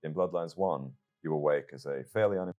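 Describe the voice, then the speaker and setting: A man speaking as an interviewer, putting points and questions in a measured way. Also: rumbling low, mellow, faint music behind.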